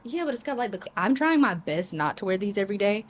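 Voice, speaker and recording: A young woman vlogging in a chatty, casual way, her voice raspy from a sore throat, laughing often and trailing off with fillers. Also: medium pitch, big pitch swings, fast talker. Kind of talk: casual vlog chat.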